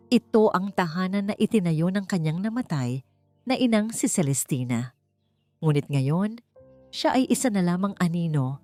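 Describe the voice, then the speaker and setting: A woman's voice: lowish voice, darkish tone. A woman narrator reading a scripted story in a dramatic storytelling style. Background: background music.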